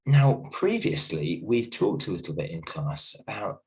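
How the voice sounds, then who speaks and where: Croaky guy teaching kids from home, real deep voice, lots of ums, gentle. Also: big pitch swings, measured pace, poor audio. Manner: gentle, reassuring, many ums and restarts. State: croaky voice from a cold, coughing. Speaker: primary school teacher. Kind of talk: recorded video lesson for a class.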